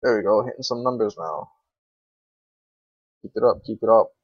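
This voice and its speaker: A man's voice: lowish voice, steady tone, normal speed, breathy edge, no echo. A young adult man giving casual gameplay commentary in an informal, grumbling, sweary way. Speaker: gaming video creator. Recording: dull lo-fi microphone, dry.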